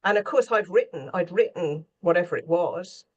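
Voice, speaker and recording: A woman's voice: on the low side, animated, moderate pace, slightly rough, highs cut off. A woman speaking off the cuff as a scriptwriter in a panel conversation, often searching for her words.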